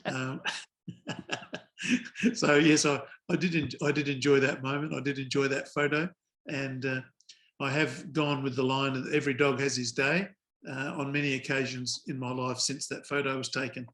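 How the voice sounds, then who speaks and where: An older man, a guest telling anecdotes in a relaxed podcast interview, with dry understated humour and measured pauses. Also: mid-range voice. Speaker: guest, former cricket umpire.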